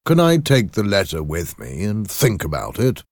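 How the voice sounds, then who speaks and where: A man voicing a grave, concerned lawyer in a storybook, with a measured, probing manner. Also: fairly low pitch, wildly swooping pitch, dry recording, studio quality, exaggerated voice. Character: grave, concerned male lawyer, questioning and suspicious. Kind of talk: audiobook character acting.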